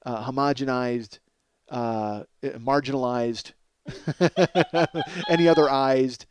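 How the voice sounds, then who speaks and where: A middle-aged man hosting a comedy podcast, telling stories in a casual, profane, self-deprecating ramble and exaggerating excitably for comic effect. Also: mid-range voice, slow pace, slight background noise. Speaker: podcast host, comedic storyteller.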